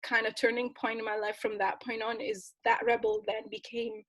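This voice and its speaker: A young woman from Tunisia tells her own story as an interview guest, earnest and passionate. Her voice sits a little high for a woman. She often restarts phrases and breaks into soft laughs between thoughts. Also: flat delivery, moderate pace. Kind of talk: candid personal storytelling.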